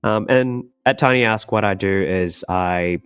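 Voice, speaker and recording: A man's voice: lowish voice, a little slow, clean tone, no echo, studio quality. A young man from Malaysia, a startup co-founder, chatting casually as a podcast guest. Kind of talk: casual self-introduction in an interview.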